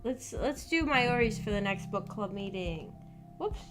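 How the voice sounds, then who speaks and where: A young American woman with a mid-range voice chats to her livestream viewers over clearly audible background music. She hosts in a casual, hesitant way, thinking aloud and trailing off as she works things out. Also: mid-range voice, lively intonation, unhurried. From United States. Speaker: live streamer, visual novel book club host.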